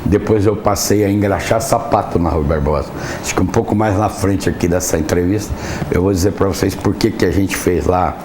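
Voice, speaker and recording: A man's voice: sits low, fairly quick. A man from Brazil with a São Paulo interior accent, a cattle breeder being interviewed, earnestly telling his life story. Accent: Brazilian Portuguese, São Paulo interior. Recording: echoey studio with background noise.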